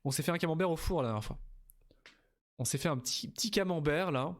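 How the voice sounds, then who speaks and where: A man's voice: a little high, fast talker. A tired young man from Normandy, chatting casually and rambling while streaming a game, reading the chat and the game text aloud. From Normandy, France. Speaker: let's play streamer.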